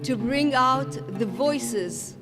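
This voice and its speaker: A woman narrating a documentary in a measured, deliberate way. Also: sits high, lively intonation, long reverb tail, over music.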